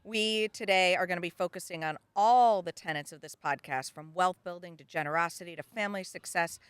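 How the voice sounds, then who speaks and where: A woman's voice: fairly low pitch, a little fast, clean tone, dry recording. A middle-aged woman hosting a podcast interview, upbeat and enthusiastic, often answering "yeah". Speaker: podcast host. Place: outdoors in a backyard.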